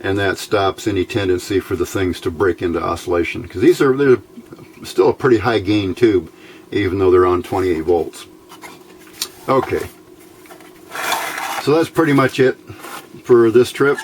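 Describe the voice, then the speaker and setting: A man giving an informal, unscripted hands-on tutorial as host, explaining step by step in a halting, plain-spoken way. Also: low voice. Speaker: host of a DIY electronics tutorial channel. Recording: clearly noisy home recording.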